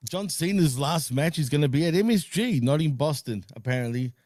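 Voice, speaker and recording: A man with a slightly high voice hosts a wrestling podcast in an easygoing way. He laughs a lot and hedges his points. The sound is dry and close. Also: expressive, a little fast, a little mellow, no echo. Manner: laid-back, laughs often, hedges with fillers. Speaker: podcast host. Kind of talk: casual wrestling chat.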